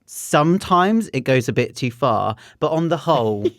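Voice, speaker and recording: A man's voice: a little high, expressive, normal speed, dry recording, clean studio sound. A man, a drag queen co-hosting a podcast, chatting with cheeky, teasing quips. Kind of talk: casual banter.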